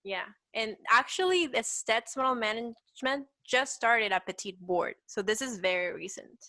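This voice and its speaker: Bright-voiced young woman with a Salvadoran accent. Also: on the high side, animated, normal speed, no room sound. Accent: Salvadoran Spanish. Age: 20s. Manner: chatty, upbeat, says "like" often. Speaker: guest, petite model. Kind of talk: casual interview chat.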